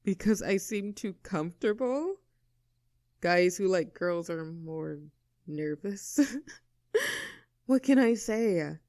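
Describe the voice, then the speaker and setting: A woman's voice: lowish voice, expressive, darkish tone, no room sound, studio quality. A woman playing an easygoing young tomboy with a secret crush in an intimate audio roleplay, casual, teasing and tender in manner. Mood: tender, shy. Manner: casual, teasing, tender, halting when flustered.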